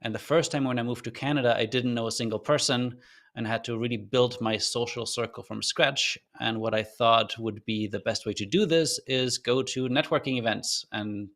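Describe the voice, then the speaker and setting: A man's voice: medium pitch, steady tone, normal speed, a bit bright. Earnest man with a faint German accent. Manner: thoughtful, earnest, loose with fillers and restarts. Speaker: podcast guest. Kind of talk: reflective storytelling in an interview.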